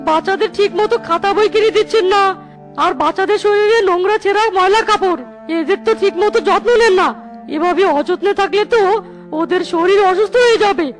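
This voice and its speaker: Cartoon staffer, voiced by a woman: extremely high pitch, indignant, scolding, faint music behind. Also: fairly quick. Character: indignant orphanage staff member scolding the manager. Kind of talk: children's cartoon voice acting.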